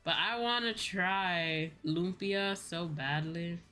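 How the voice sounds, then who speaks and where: A woman's voice: on the low side, takes it slowly, sharp tone. A young woman chatting casually on a livestream, sounding sleepy, rambling and playfully repeating herself. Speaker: VTuber livestream host. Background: background music.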